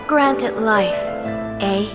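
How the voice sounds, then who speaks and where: Woman doing a gentle wistful game goddess, soft n slow, highish voice, big echo with music behind. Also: close to an everyday voice. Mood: serene, solemn. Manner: soft-spoken, formal, sighing, unhurried. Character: gentle, serene fading goddess, wistful and tender. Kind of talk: dramatic video game voice acting. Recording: long cavernous reverb under music.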